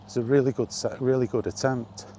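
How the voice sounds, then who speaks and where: A man hosting a casual, rambling chat, thinking aloud with hesitant pauses. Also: medium pitch, steady tone, mellow. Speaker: video host reminiscing about games. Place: on the move, walkie talkie vlog. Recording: faint ambient background noise.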